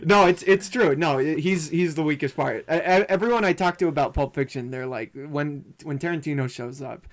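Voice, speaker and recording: Higher-voiced man hosting a casual podcast. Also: a little fast, hardly any pauses. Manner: chatty, informal, swearing. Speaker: podcast host. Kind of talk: casual film discussion podcast chat.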